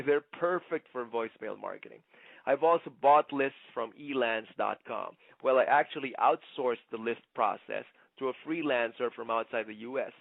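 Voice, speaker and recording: A man giving an instructional sales presentation like a trainer, conversational but emphatic, often checking in with a quick "okay?". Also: mid-range voice, creaky, no echo. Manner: conversational, punctuates points with "okay?". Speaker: network marketing trainer. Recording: muffled, narrow phone-like sound.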